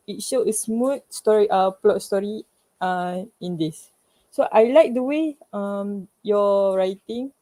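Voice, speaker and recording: A woman with a Malaysian accent giving informal feedback to a class, haltingly and often searching for words. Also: mid-range voice, dark tone.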